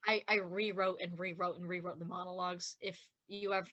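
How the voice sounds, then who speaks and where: A woman's voice: lowish voice, little pitch movement, fairly quick, a bit bright, dull audio. A woman chatting casually as a podcast writer in a group commentary.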